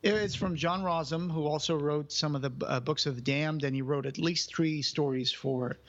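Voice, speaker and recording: A man's voice: a little high, moderate pace, fairly bright tone, highs cut off. A man co-hosting a podcast in casual chat, rambling with many restarts and fillers. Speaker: podcast co-host.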